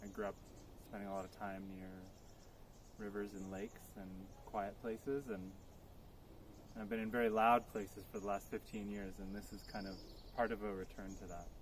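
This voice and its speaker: A man's voice: on the low side, expressive, moderate pace, slight background noise. Reflective man. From Poland. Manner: reflective, earnest, thinks aloud. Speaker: interviewee. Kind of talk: outdoor interview answers. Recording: crickets clearly audible outdoors.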